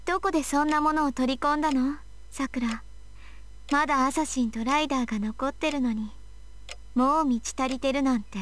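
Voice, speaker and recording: A woman's voice: high-pitched, fairly even intonation, very fast, a bit bright. An actress playing a young anime girl in a Japanese visual novel. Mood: calm, cool. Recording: music behind the voice. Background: background music from the game.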